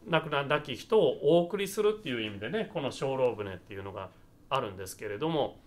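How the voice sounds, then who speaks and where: A man's voice: medium pitch, animated, quick pace, darkish tone. A man from Nagasaki, Japan, giving an explanatory Buddhist talk as a minister, conversational and going step by step. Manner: conversational, explains step by step, often ends phrases seeking agreement.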